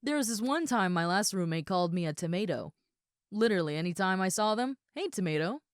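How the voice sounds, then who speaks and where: A woman playing a big, friendly ogre roommate in an audio roleplay, chatting casually and gently to the listener. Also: mid-range voice, expressive, brisk, smooth, dry recording, clean studio sound, natural voice. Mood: friendly, teasing. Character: huge muscular red female ogre roommate, friendly and easygoing.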